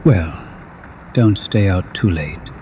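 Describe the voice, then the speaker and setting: An actor playing a kindly, patient old priest in an audio drama, speaking gently and deliberately like a father to his flock. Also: low-pitched. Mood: kindly, fatherly. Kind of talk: full-cast audio drama acting. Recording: roomy echo, faint background noise.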